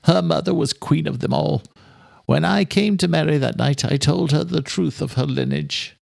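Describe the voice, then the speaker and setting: A gravelly audiobook villain, acted by a man, obsessive and grandiose. He declaims like a spurned lover who believes himself above all others. The sound is dry and close. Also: on the high side, very animated, normal speed, darkish tone, dry recording, heavily acted. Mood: bitter, possessive. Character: obsessive, grandiose immortal male killer reading his love letter. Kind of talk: dramatic audiobook villain monologue.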